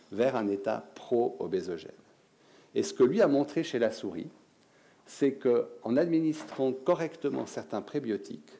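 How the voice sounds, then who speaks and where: Male researcher with a Swiss French accent, low and gravelly, lecturing in a hall, explanatory, often restarting. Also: dark tone. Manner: explanatory, with restarts and filler words. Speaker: medical researcher giving a talk. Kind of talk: conference lecture. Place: conference hall.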